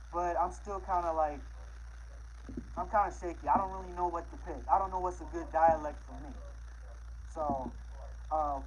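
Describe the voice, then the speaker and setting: A man livestreaming casually, chatting with viewers in a laid-back, halting way that often trails off. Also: sits high, dull audio. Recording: clearly noisy livestream with background ambience.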